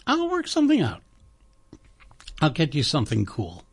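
Raspy-ish guy hosting a radio show, rambly and chatty, pitching pledges. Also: huge pitch swings, moderate pace. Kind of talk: casual on-air radio chat with a pledge-drive pitch. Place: radio studio.

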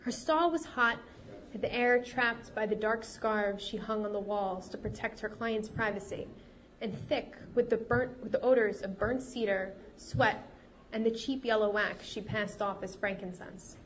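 A woman author reading her novel aloud at a live event, steady and expressive, her voice a little off while she gets over a cold. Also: medium pitch, unhurried, a little hiss or hum, poor audio. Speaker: fantasy author.

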